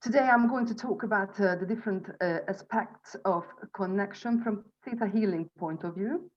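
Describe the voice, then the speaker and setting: A woman in her forties with a Hungarian accent, giving an informal spiritual presentation to an online group as a healing instructor and coach, in halting, deliberate phrases. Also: mid-range voice. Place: online video call from Budapest. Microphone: video call microphone. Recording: dull, lo-fi video call audio.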